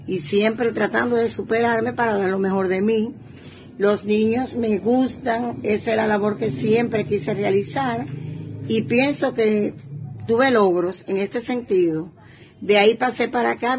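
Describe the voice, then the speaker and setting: An older woman from Santiago de Cuba province with an eastern Cuban accent, a retired teacher giving a personal testimony. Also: mid-range voice. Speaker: retired primary school teacher, interviewee. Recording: muffled, faint background noise.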